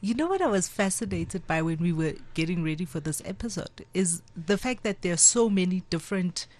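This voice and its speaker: A woman's voice: on the low side, expressive, a little fast, darkish tone. Curious South African woman co-hosting. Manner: curious, chatty questions. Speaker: podcast co-host. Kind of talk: interviewing guests.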